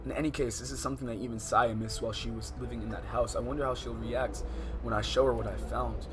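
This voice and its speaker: A man's voice: medium pitch, steady tone, quick pace. Slangy, exclamatory man streaming over music. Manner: repeats phrases insistently. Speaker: livestreamer reacting to a game. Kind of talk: casual livestream commentary mixed with reading game text aloud. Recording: music behind the voice. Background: background music, clearly heard.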